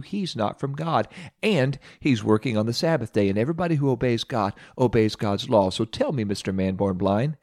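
A man's voice: medium pitch, darkish tone, no echo, studio quality, lightly acted. A man voicing a smug, scornful Pharisee who talks down to people, in a storytelling sermon. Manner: pompous, condescending.

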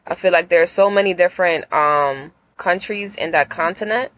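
A woman's voice: on the low side, normal speed, fairly bright tone. A woman guest in a casual chat, thoughtful and a bit hesitant.

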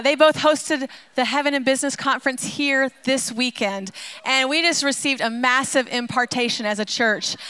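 A woman's voice: high-pitched, clean tone. A woman introducing a guest speaker to her church congregation in a casual way. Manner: casual, warm-up before handing over the stage. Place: church sanctuary.

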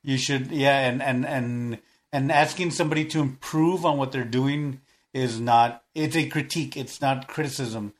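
A man's voice: mid-range voice, moderate pace. A man chatting casually as a podcast guest in an agreeable, supportive way. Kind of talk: casual chat.

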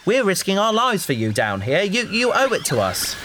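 An actress playing an earnest researcher on a risky space mission, pleading and challenging in an audio drama. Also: female voice, medium pitch, sing-song, normal speed, close to an everyday voice. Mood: urgent, pleading. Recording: faint hiss behind the voice.

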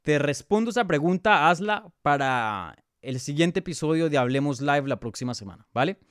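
A man's voice: a little high, expressive, moderate pace, clear, resonant tone, dry recording, clean studio sound. A man with a Bogotá Colombian accent hosting a casual live sports podcast, talking conversationally and thinking aloud as he gives his analysis. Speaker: podcast host and MMA analyst. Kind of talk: casual live sports preview, answering viewer questions.

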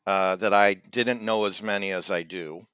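A man co-hosting a podcast, rambling and teasing with halting restarts in casual comedic chat. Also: deep voice, fairly even intonation, fairly bright tone, smooth, dry recording. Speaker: podcast co-host.

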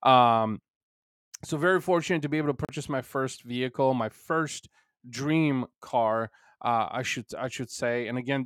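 A man's voice: mid-range voice, a bit bright, dry recording, studio quality. A man born in Bulgaria, a native Bulgarian speaker, hosting a solo podcast in an earnest, rambling way full of "you know" fillers. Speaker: podcast host.

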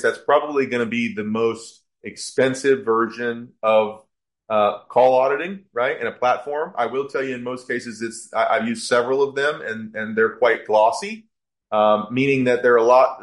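Male podcast guest, business owner. Mid-pitched, conversational explainer, halting with repeated words.

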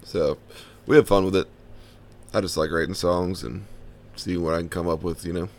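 A man from the Washington DC–Baltimore area hosting a podcast, with a bassy baritone voice, laid-back and chatting with short agreeing replies. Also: steady tone, normal speed, darkish tone, a little hiss or hum. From Washington DC–Baltimore area, USA. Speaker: podcast host. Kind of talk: casual podcast interview.

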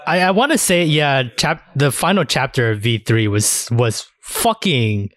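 A man's voice: medium pitch, animated, unhurried, a bit bright, no echo. A man hosting a podcast in casual chat about anime and games, swearing freely as he talks. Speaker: podcast host.

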